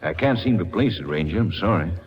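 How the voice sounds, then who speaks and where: An actor playing a mild, hesitant government mining official in an old-time radio drama, sounding apologetic. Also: male voice, deep voice, expressive, fairly quick, in a large hall, a little hiss or hum. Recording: hollow, dull vintage radio recording.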